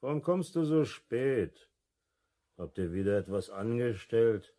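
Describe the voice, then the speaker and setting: A man's voice: medium pitch, animated, a little slow, mellow, lightly acted. An actor playing a stern, worried grocer father scolding in a 1970s radio play. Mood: stern, reproachful.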